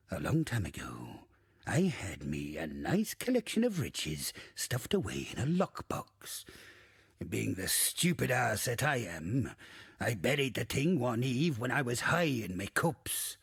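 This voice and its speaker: An actor playing a bawdy, wistful old ghost in a video game, theatrical and drawling, with a gravelly, rasping voice. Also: male voice, lowish voice, sing-song, a little slow, fairly bright tone, no echo, studio quality, exaggerated voice. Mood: gruff, rueful. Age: older. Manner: theatrical, salty archaic pirate talk, swooping and drawling. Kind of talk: video game character monologue.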